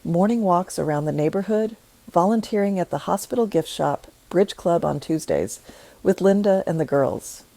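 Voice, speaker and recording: Low gravelly woman narrating a story. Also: lively intonation, warm tone, dry recording. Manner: measured, reflective storytelling. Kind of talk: scripted first-person story narration.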